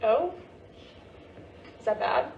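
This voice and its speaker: A woman's voice: slow pace, lo-fi sound, natural voice. A woman acting a prickly, sarcastic teenage American daughter in a naturalistic play reading. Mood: testy, probing. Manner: sarcastic, exasperated. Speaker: actor in a staged reading. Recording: roomy, echoing, faint background noise.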